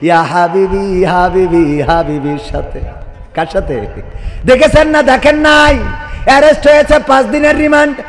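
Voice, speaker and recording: Young bangladeshi guy preaching waz, really high voice, half chanting, asking for donations n responses, big echoey reverb. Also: steady tone, moderate pace, faint music behind. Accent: Bangladeshi Bengali. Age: young adult. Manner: loud declamatory delivery slipping into singsong chant and asking the crowd for responses. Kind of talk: waz sermon with donation appeals and prayers. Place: stage at a religious gathering. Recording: long hall reverb from amplified sound system.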